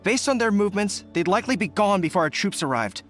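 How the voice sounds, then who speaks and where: An actor playing an earnest, dutiful young army general in a video game, speaking in a formal military briefing manner. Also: male voice, high-pitched, big pitch swings, quick pace, fairly bright tone. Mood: hesitant. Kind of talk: English video game voice acting. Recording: music behind the voice.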